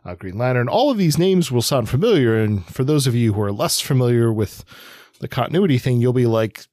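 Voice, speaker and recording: A man's voice: mid-range voice, lively intonation, brisk, a little mellow, dry recording, clean studio sound. A man co-hosting a podcast, explaining things casually with wry asides and rambling digressions. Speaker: podcast co-host.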